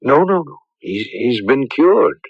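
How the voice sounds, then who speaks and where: Grave priest in an old radio play, probing n deliberate, gravelly, kinda muffled recording. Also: male voice, mid-range voice, big pitch swings, no room sound, full character voice. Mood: grave, concerned. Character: grave, thoughtful male priest counselling a young man. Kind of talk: radio drama acting.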